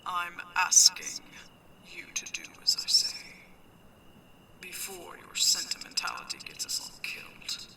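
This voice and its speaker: Female pirate captain , played by an actress: hushed, unhurried, commanding and teasing, slightly distant in an echoey sci-fi setting. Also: fairly low pitch, monotone, heavily acted. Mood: stern, impatient. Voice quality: hushed, breathy whisper. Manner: slow, teasing, imperious. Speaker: voice actor in an audio roleplay. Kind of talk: ASMR sci-fi roleplay acting.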